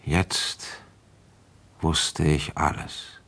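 A very low male voice with heavy creak: a weary, shrewd police inspector in a radio play. He broods aloud in slow, deliberate phrases, reflective and halting as he thinks. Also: fairly even intonation, a bit bright, heavily acted. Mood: brooding, reflective. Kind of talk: German radio play acting, first-person narration and dialogue.

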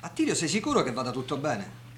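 A man's voice: mid-range voice, wildly swooping pitch, quick pace, a bit bright. A man acting an earnest male character in a radio drama. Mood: casual, concerned. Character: earnest men around a doctor: brother, friend, colleague. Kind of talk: radio drama monologue and dialogue. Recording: faint hum and noise.

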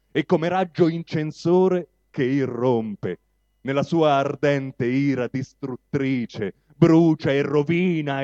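A man speaking deliberately to present and recite poetry at a live reading. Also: fairly high pitch, warm tone, no room sound. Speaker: poetry reader at a public reading event. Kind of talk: spoken introduction and declaimed recitation of poetry. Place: live reading at a public venue. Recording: dull, lo-fi live event recording.

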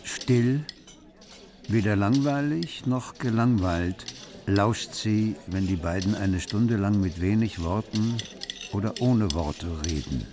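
An actor narrating a literary radio play as a storyteller. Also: male voice, lowish voice, takes it slowly, darkish tone.

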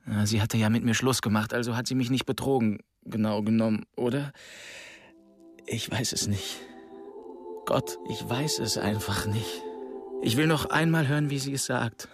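A man's voice: medium pitch, slightly rough, no echo, clean studio sound. An actor playing a heartbroken, doubt-ridden young man in an intimate inner monologue for a radio drama. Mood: anxious resolve. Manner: brooding, self-questioning.

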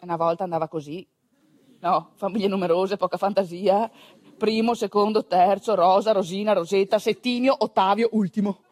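A woman's voice: medium pitch, normal speed, a little mellow, dry recording. A woman telling a theatrical story, with conversational asides to her listeners. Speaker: stage actress narrating a monologue.

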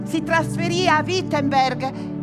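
An actress playing a solemn chorus figure who warns of damnation, telling it in emphatic, declamatory verse for radio drama. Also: female voice, high voice, loud music behind the voice. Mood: solemn storytelling. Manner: slow, emphatic, declamatory phrasing.

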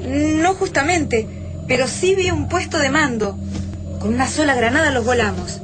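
A woman's voice: high voice, normal speed, noisy background, lightly acted. An actress with an Argentine accent playing an alluring young woman survivor in a sci-fi radio drama. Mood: earnest, urgent.

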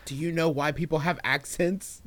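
A woman's voice: deep voice, fairly even intonation, moderate pace. A woman co-hosting a comedy podcast, bantering casually in a joking, mocking manner.

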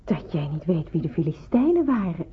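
A woman's voice: lowish voice, very animated, brisk, slight background noise. An actress playing an earnest, anxious young woman in wartime hiding, musing hesitantly in an old radio play. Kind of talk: Dutch radio play acting with hushed inner monologue. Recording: muffled, narrow-band old radio recording.

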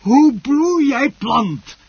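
An actor playing an obsessive, unhinged plant grower in a radio drama, muttering and repeating himself between hushed passages and outbursts. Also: male voice, extremely high pitch, lively intonation, very slow, gravelly, breathy edge, dry recording, full character voice. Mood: pleading, frustrated. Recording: dull, muffled old radio play recording.

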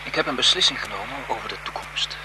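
An actor playing a lovesick, desperate photographer in a melodramatic, impassioned radio thriller style. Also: male voice, medium pitch, fast talker, natural voice. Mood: earnest, devoted. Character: lovesick, desperate male photographer turning bitter and vengeful. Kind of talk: 1970s Dutch radio thriller acting. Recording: noisy 1970s radio drama broadcast.

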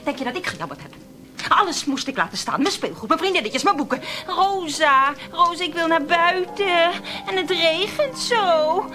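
A woman's voice: extremely high pitch, lively intonation, moderate pace, music in the background. An actress in a radio play, playing a bitter, lonely woman who resents caring for her brother, with a brittle, intense manner. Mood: bitterly mocking. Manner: brittle and intense, mimics others mockingly.

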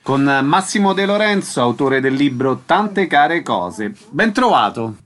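A man hosting a radio interview, introducing and questioning his guest in a measured way. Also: a little high, big pitch swings, a bit bright.

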